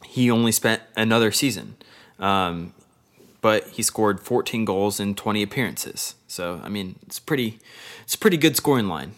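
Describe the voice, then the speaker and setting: A man co-hosting a casual sports podcast, reading stats in a loose, joking way. His voice sits low. He often stops and starts again mid-sentence. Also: lively intonation, fairly bright tone. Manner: loose and jokey, reads stats with halting restarts. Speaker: podcast co-host.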